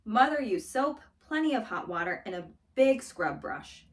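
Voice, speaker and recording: Distant, higher-voiced woman reading a storybook. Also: normal speed. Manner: expressive, dramatic storytelling. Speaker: children's story reader. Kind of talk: scripted storybook read-aloud.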